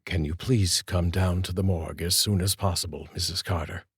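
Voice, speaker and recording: A man doing a calm, formal police detective. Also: low-pitched, breathy edge, no room sound, studio quality, exaggerated voice. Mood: somber. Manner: measured, soft-spoken and formal.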